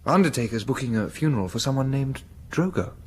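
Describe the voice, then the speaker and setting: An actor playing a diffident, uneasy vicar in a radio drama, gentle and hesitant. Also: male voice, mid-range voice, animated, fast, slight background noise, natural voice. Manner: hesitant, flustered.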